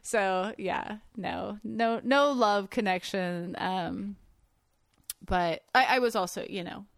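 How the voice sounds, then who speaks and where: A woman's voice: medium pitch, takes it slowly, creaky, no echo, studio quality. A woman with a light Californian accent, a podcast guest telling her story in a chatty, casual way. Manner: chatty storytelling, laughs often. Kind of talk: casual conversation.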